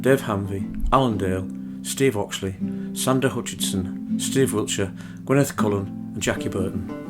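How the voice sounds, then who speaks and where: A man reading scripted closing credits as an announcer. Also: fairly low pitch. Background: loud plucked guitar music.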